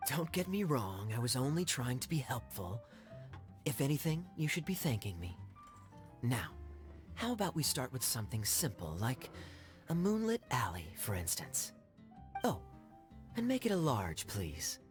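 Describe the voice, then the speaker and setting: A man playing a suave young knight in a video game, smooth-talking and slyly teasing. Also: medium pitch, big pitch swings, unhurried, pauses often. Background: music.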